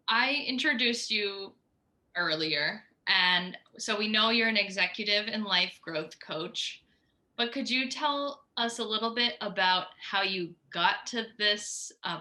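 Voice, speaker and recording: A woman's voice: medium pitch, bright, forceful tone. A woman hosting a conversational interview in a friendly, attentive manner. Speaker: podcast host, interviewer.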